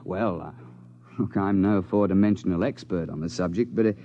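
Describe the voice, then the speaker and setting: An actor playing a seasoned British big-game hunter and safari guide in a 1950s radio drama, brisk and matter-of-fact. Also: male voice, low voice, slight background noise. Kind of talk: 1950s radio drama acting, narration and dialogue. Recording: muffled 1950s radio recording, music behind.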